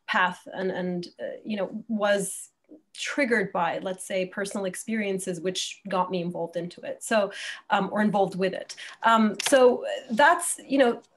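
A woman in her thirties from Egypt speaking conversationally as an academic guest on a video call, thoughtfully rephrasing as she goes. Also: mid-range voice. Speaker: guest academic, terrorism scholar. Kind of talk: conversational interview answer. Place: indoor room at home, video call.

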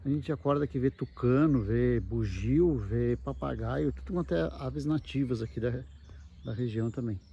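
Male vlogger, creaky relaxed voice, conversational tour-guide delivery, light outdoor noise. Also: medium pitch, moderate pace, dull audio. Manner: explanatory, conversational with frequent check-in tags. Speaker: camping travel vlogger. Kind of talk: casual walking tour narration. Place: outdoors at a wooded campground. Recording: noisy outdoor handheld recording.

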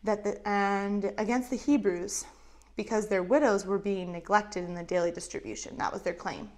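Woman in her 30s, kids' Bible teacher, lively storyteller, medium pitch, lapel mic. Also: normal speed. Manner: animated, acts out voices of characters. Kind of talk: storytelling Bible lesson for children. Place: indoors in front of a map backdrop.

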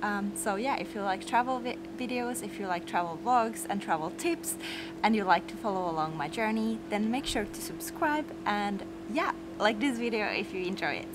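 A young woman from Finland talks straight to her handheld camera, chatty and bubbly, with plenty of filler words. Her pitch sits in a normal middle range for a woman. Steady traffic and street noise run clearly behind her outdoors. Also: moderate pace. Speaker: travel vlogger. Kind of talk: casual travel vlog. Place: rooftop terrace outdoors, later busy street. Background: traffic and street ambience.

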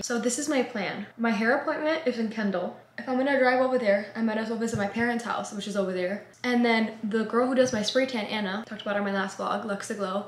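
A woman's voice: on the high side, roomy sound. A young woman in her twenties chatting casually to camera in a vlog, bubbly and full of "like", recorded in a small room at home. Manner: chatty, bubbly, heavy use of "like". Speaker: vlogger.

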